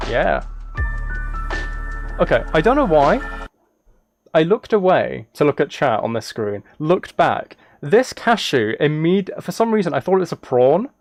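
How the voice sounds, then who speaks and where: A man's voice: fairly high pitch, very animated, unhurried, no room sound, soft background music. A man livestreaming casually, reacting and chatting in an easily sidetracked, self-deprecating way. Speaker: live streamer. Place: indoors at a streaming setup.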